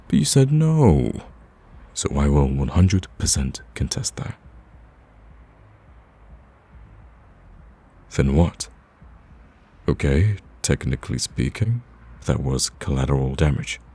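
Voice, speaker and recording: Man playing a very deep gravelly flirtatious villain. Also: darkish tone, heavily acted. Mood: cocky self-justifying. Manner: drawling, teasing, casual and conversational. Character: smug flirtatious male villain wooing the listener, cocky and teasing. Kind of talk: ASMR roleplay acting.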